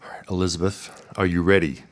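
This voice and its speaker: A man hosting a podcast in a casual, easygoing, somewhat halting way. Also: low voice, flat delivery. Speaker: podcast host. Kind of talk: casual interview and sign-off.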